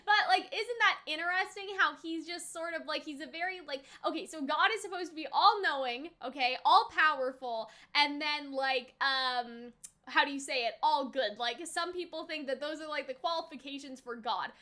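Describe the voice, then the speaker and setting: A woman in her twenties giving a casual, rambling reaction and review, animated and peppered with filler phrases like "you know". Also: high-pitched, moderate pace. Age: 20s. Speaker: reaction video host and reviewer.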